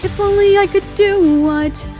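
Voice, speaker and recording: A woman's voice: very high-pitched, a little slow, music in the background. A woman singing a yearning, regretful musical ballad as a lovelorn pony princess.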